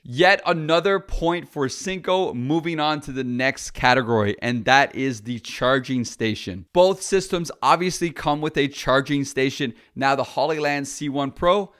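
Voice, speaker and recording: A man's voice: mid-range voice, fairly bright tone. A man, a filmmaker, chatting casually and bluntly through a product review.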